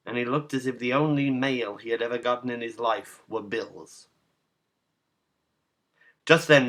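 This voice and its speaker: Man reading literary fiction in measured phrases. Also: mid-range voice, a bit bright. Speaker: narrator, reader. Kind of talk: literary short-story reading.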